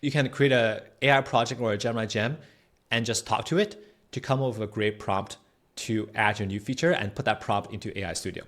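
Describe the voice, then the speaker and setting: Man hosting a casual software tutorial. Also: lowish voice, a little fast. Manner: conversational, explanatory, checks in with "right?". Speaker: tutorial host.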